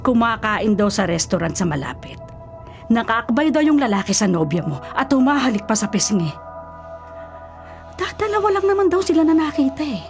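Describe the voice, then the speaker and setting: A woman's voice: on the high side, very animated, moderate pace, faint music behind. An actress playing an older, worried and caring Filipino mother in a radio drama, fussing and nagging at her grown son. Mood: reproachful, worried.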